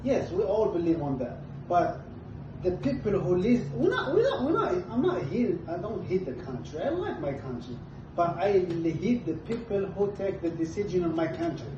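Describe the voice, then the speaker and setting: A man's voice: sits high, big pitch swings, normal speed, background noise. Blunt Moroccan man, far from the mic. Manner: blunt, swears freely. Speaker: guest. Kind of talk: casual conversation. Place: apartment room. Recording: distant, echoey, dull room recording.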